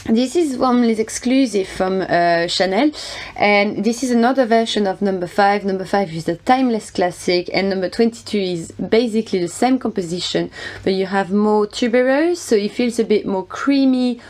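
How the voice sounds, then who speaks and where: A woman describes her favourite perfume in an earnest, halting way, often pausing on uh. Her voice sits at an ordinary middle pitch. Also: a little mellow. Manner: earnest, many fillers and uh pauses. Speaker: fragrance reviewer. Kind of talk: guest segment in a video collaboration.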